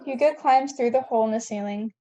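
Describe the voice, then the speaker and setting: A woman's voice: fairly high pitch, fast, dark tone, slight background noise. A woman reading scene headings and stage directions as narrator of a table read, on a dull lo-fi recording.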